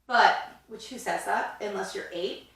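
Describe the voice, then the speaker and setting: Twenties gal rambling on a podcast, bright tone, echoey and kinda far from the mic. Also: female voice, medium pitch, measured pace. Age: 20s. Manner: chatty, rambling with many fillers. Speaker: podcast co-host. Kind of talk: casual chat.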